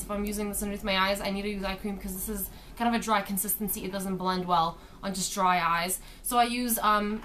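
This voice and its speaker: A young woman with a slightly hoarse voice from a sore throat, chatting through a makeup tutorial in her bedroom, recorded on a webcam. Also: mid-range voice, bright, forceful tone, slight background noise. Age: young adult. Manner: chatty, explains each step while applying makeup. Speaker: beauty vlogger. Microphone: webcam at desk distance.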